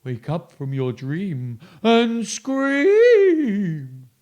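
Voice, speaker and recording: A spooky storybook ghost, put on by a man wails his chant in long, drawn-out moans. The voice rides high and swoops widely. Every word is stretched slow. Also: huge pitch swings, darkish tone, heavily acted. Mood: wailing.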